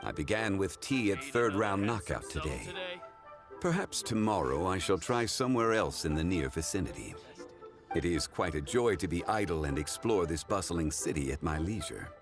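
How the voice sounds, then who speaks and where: A man playing a refined, leisurely old-souled gentleman in a video game, speaking in a formal, measured, contemplative way. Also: low-pitched, expressive. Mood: composed. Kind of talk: English video game voice acting. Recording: music behind the voice.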